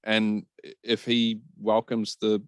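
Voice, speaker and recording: A man's voice: lowish voice, monotone, slow pace, clean tone, dry recording, studio quality. A man co-hosting a podcast, giving opinions in a casual, blunt and wry way. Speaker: podcast co-host.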